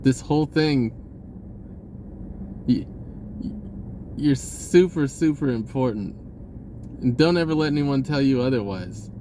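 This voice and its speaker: A man's voice: mid-range voice, lively intonation, measured pace, pauses often, mellow, slight background noise. A man giving a casual, rambling spiritual talk, restarting his sentences and chuckling now and then. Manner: rambling, laid-back, with frequent restarts and chuckles. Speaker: spiritual vlogger.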